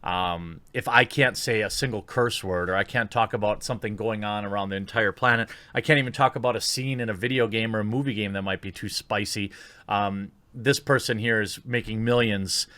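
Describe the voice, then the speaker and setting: A man's voice: lowish voice, moderate pace, bright, forceful tone, no room sound. Indignant male commentator, dry close sound. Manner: indignant, disapproving, emphatic. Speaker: YouTube commentator, host. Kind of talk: opinion commentary, part read-out and part off-the-cuff.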